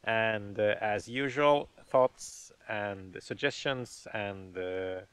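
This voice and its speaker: A man in his thirties vlogging outdoors by a forest lake, talking into a camera held at arm's length, relaxed and hesitant with many fillers. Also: fairly low pitch, extremely slow, clean tone, no room sound. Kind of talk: casual outdoor vlog.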